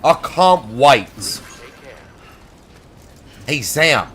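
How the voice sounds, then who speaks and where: A man's voice: medium pitch, big pitch swings, drawn-out words, a bit bright, slightly rough. A young man giving casual let's play commentary, chatty, sarcastic and profane. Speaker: gaming video host.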